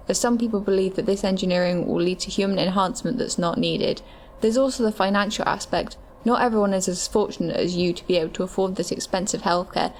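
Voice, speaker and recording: Lower-voiced matter-of-fact female medical adviser acted by a woman. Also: slight background noise, close to an everyday voice. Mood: reassuring, matter-of-fact. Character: future medical adviser explaining artificial hearts, informative, matter-of-fact. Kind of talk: scripted explanatory audio drama.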